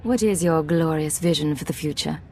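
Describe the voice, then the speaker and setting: A woman's voice: deep voice, normal speed, darkish tone, a little hiss or hum. A woman playing a cool, guarded secret agent in a video game, speaking in a controlled, dry way. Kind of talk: video game dramatic acting.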